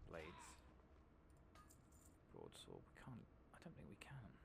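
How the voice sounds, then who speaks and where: A man giving soft-spoken ASMR commentary on a game playthrough, drifting between murmurs and whispers, with a gravelly low voice. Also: wildly swooping pitch, takes it slowly, background noise. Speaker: gaming streamer.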